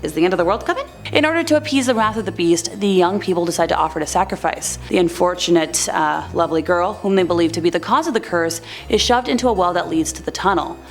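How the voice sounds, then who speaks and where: Fast-talking woman narrating a countdown video. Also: fairly low pitch, soft background music. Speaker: presenter of a top-five list video. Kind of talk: scripted narration with casual asides.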